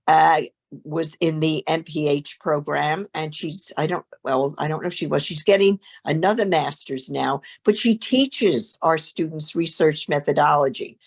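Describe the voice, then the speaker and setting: This is a woman with a low-pitched voice and a noticeable gravelly fry running through it. She presents a degree program at an online information session, heard through a video call. She talks haltingly, and her sentences keep breaking into fillers and restarts.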